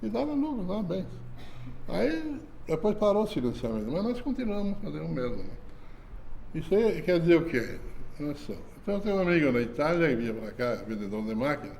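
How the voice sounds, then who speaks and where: An older man reminisces in a casual interview. He rambles in a folksy way, tags his sentences with "né?" and chuckles softly. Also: on the high side, big pitch swings, a little fast, creaky, a little hiss or hum, poor audio. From southern Brazil. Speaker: company founder being interviewed.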